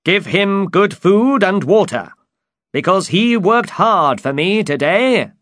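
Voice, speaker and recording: A man's voice: sits high, expressive, takes it slowly, a bit bright, no echo, studio quality, pitched above the performer's own voice, heavily acted. A man voicing an eager, pompous old would-be knight in a storybook, speaking with earnest chivalry. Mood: grand, courteous. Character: eager, grandiose old man who believes he's a knight. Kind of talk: dramatized storybook reading.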